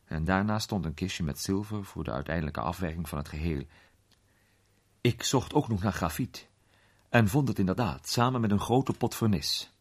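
An actor playing a curious amateur sleuth who tells a suspenseful mystery story in animated, colloquial radio drama narration. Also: male voice, sits low, moderate pace, slightly rough. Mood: tense, intrigued. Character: curious male amateur sleuth recounting a suspenseful night adventure.

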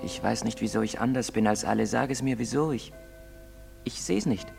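An actor playing an anxious, brooding young outcast in love in a stage drama, speaking haltingly. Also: male voice, mid-range voice, creaky, darkish tone, faint music behind. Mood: anxious, bitter. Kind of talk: stage-drama acting in German. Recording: very reverberant hall sound.

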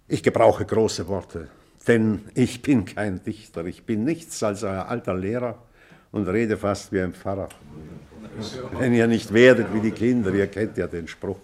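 A man's voice: lowish voice, a little mellow. An older man playing an earnest, fatherly old schoolteacher in a radio play, speaking solemnly like a preacher. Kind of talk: radio play acting, oratorical speech.

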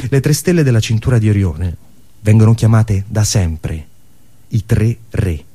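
A man delivering a theatrical storytelling monologue, posing rhetorical questions and using deliberate dramatic pauses. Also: lowish voice, warm tone. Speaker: monologue performer.

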